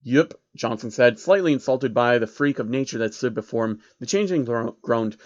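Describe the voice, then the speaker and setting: A man's voice: mid-range voice, expressive, brisk, dry recording, dull audio. A man reading fan fiction aloud as narrator, with casual asides.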